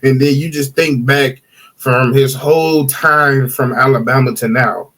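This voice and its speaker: A man co-hosting a casual sports podcast, talking in a relaxed, slangy way with loose grammar. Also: medium pitch, measured pace, poor audio.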